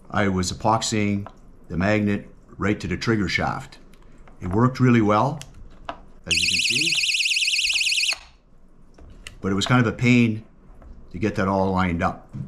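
Middle aged guy w a real low voice, plain matter-of-fact how-to talk at his workbench. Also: fairly bright tone, a little hiss or hum. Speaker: outdoors channel host. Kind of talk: casual how-to demonstration. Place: indoors at a workbench.

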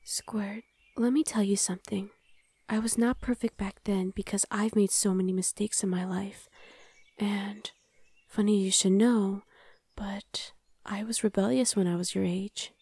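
A young woman voicing a gentle older sister in an ASMR roleplay, speaking softly and half-whispered to comfort a younger sibling. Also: mid-range voice, fairly even intonation, unhurried, husky, no room sound. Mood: reassuring. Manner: soft, half-whispered, reassuring. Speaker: voice actor.

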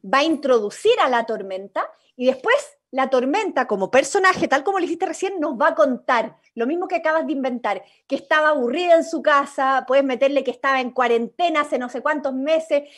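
A Chilean woman on a video call, directing a radio play workshop in an animated, encouraging way. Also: sits high, brisk. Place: indoors at home, video call. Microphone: computer mic on video call.

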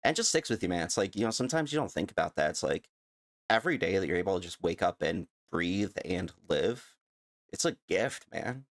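A man's voice: low voice, expressive, a little fast. A middle-aged man streaming on a headset mic from his home setup, reacting in casual live chat, swearing freely and laughing easily.

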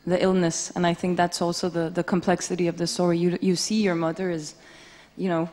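A woman's voice: fairly low pitch, little pitch movement, brisk, darkish tone, big-room sound. A woman in her twenties answering press questions earnestly into a table microphone. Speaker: film actress at a press conference. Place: large press conference room.

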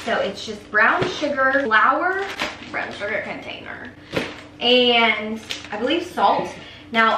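A young woman vlogs from her home kitchen, chatty and quick to poke fun at herself. Her voice sits a little high. The camera sits back on the counter, so the room echoes around her with a faint hum underneath. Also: sharp tone. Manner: chatty, self-teasing, talks while cooking. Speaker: cooking vlogger. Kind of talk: casual cook-along chat. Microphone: camera on the counter, at some distance.

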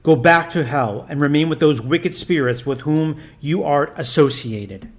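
A man's voice: on the high side, a little slow. Man playing a stern commanding priest. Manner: loud, commanding, formal old-fashioned speech. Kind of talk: audiobook reading.

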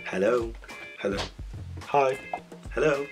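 A hoarse young man chatting excitedly in a small bedroom while reacting to a song, talking into a webcam with lots of "like" and quick giggles. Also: medium pitch, soft background music. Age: young adult. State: hoarse, voice strained from screaming. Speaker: music reaction vlogger. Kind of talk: casual reaction chat. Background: song playing quietly.

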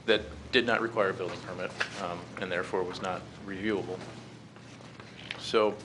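A middle-aged American man delivers a formal briefing in a measured, halting way. His voice sits low and barely moves in pitch. There is clear noise over the meeting-room recording. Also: fairly even intonation. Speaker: presenter to a city council. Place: council chamber, standing at a podium. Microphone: podium gooseneck microphone.

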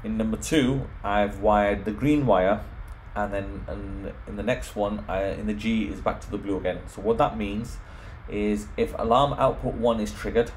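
A man's voice: on the low side, fairly even intonation, moderate pace, hardly any pauses, slight background noise, low-quality recording. A man presenting an informal home automation tutorial, explaining things step by step. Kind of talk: informal software screen walkthrough.

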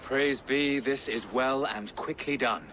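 An actor playing a pious bishop who speaks in earnest, formal church phrasing. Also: male voice, medium pitch, slow pace, lightly acted. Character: pious middle-aged male English bishop. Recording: game audio with outdoor ambient noise.

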